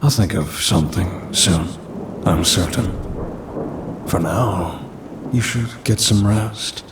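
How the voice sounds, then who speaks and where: A man's voice: low voice, takes it slowly, very noisy. A man voicing a centuries-old demon in an ASMR audio roleplay, formal and pompous, grumbling dryly yet caring. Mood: smug, calm. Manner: formal, pompous, dry complaining.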